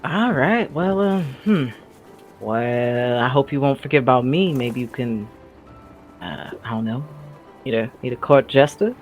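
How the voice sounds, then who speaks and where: A man's voice: mid-range voice, expressive, unhurried, close to an everyday voice. A man playing an awkward, earnest young sidekick in a tabletop audio drama, hesitant and rambling as he talks. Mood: awkward, unsure. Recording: music behind the voice.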